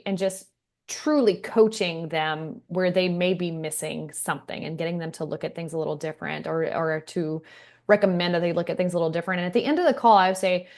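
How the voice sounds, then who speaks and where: Reflective lower-voiced woman host. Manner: reflective, conversational. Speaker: host, coach. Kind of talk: interview conversation.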